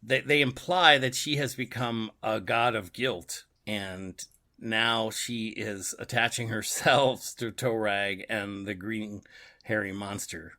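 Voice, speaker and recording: A man's voice: fairly low pitch, fairly even intonation, slow pace, sharp tone. A man co-hosting a podcast, casually discussing a story and often repeating words as he thinks aloud. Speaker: podcast co-host. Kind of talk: casual book and radio drama discussion.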